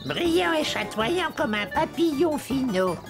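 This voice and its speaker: An excitable, dim-witted male goblin sidekick in a children's cartoon. The voice is high and jumps around as he blurts and hesitates, with music behind. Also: measured pace, exaggerated voice. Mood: sheepish. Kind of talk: French children's cartoon acting.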